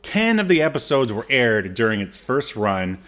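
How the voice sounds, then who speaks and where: A man's voice: medium pitch, sing-song, moderate pace, a bit bright. A man in his thirties co-hosting a casual podcast, talking loosely with lots of fillers and restarts. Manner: rambling, many fillers and restarts. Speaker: podcast co-host. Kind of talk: casual chat.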